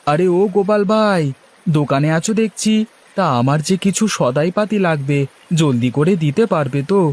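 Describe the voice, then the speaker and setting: A man's voice: on the high side, lively intonation, moderate pace, a little mellow. A man voicing a harried, good-natured village husband in a cartoon, chatty and pleading. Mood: hurried. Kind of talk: Bengali cartoon dialogue.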